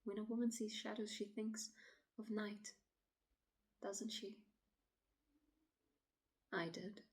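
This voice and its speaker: A woman acting a shaken city woman in a dramatic stage monologue, pleading her account to police in a halting, often hushed voice. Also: medium pitch, steady tone, lightly acted. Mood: haunted, fearful. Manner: halting, hushed, often breathy near-whisper.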